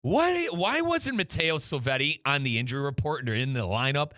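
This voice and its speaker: A man's voice: fairly high pitch, very animated, moderate pace, sharp tone, dry recording, clean studio sound. A man hosting a sports talk show, speaking emphatically and repeating phrases for weight.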